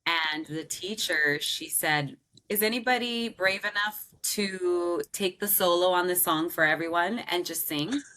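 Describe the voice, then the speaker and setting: A woman in her thirties from Southern California, a singer guest chatting casually in an interview, animated and laughing often, with a loud, strong voice. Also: fairly low pitch, a little slow, poor audio.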